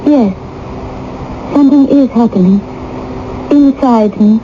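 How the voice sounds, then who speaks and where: A woman's voice: on the high side, slow pace, mellow. A woman playing a proud, melancholy young princess in 1980s radio drama, formal and theatrical in her delivery. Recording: dull, noisy vintage radio broadcast.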